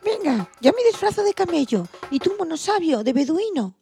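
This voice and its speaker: A very high, childlike voice from a woman for a young elephant boy in a children's audio story. He is eager, playful and affectionate, with soft music behind him. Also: very animated, moderate pace, vocal fry, exaggerated voice.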